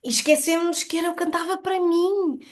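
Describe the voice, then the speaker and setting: A woman's voice: extremely high pitch, fairly even intonation, a little fast. A woman with a European Portuguese accent speaking as a podcast guest, explaining personal experiences in an earnest, emphatic, reflective way.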